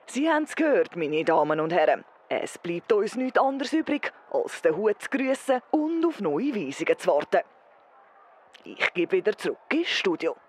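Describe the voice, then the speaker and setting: A woman's voice: medium pitch, big pitch swings, moderate pace, darkish tone, husky. A woman playing an earnest, persistent field correspondent in a parody news report, formal and dramatic, with a Swiss German accent. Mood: solemn.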